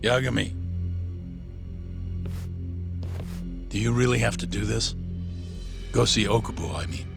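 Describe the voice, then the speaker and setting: A stern, protective male mentor in a game cutscene. His low, gravelly voice is blunt and insistent, admonishing over faint music. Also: fairly even intonation, fairly quick. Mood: exasperated concern. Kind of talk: video game cutscene acting.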